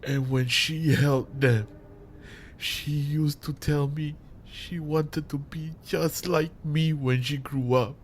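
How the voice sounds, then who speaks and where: A man acting a grieving, unhinged father turned vengeful villain in a dramatic audio monologue, with menacing, anguished delivery and long pauses. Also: on the high side, fairly even intonation, a little hiss or hum. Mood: grieving, bitter.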